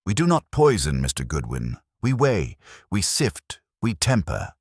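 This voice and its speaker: A man's voice: sits low, wildly swooping pitch, measured pace, no echo, clean studio sound, exaggerated voice. A man voicing a proud, solemn male baker in an audiobook mystery. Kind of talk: audiobook character dialogue.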